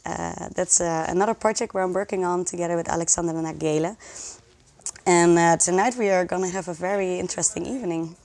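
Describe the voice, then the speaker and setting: A woman with a slightly low voice talks as an interview guest. She explains her work in an enthusiastic, conversational way. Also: fairly even intonation, a little fast, darkish tone. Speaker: interview guest, social project community organizer.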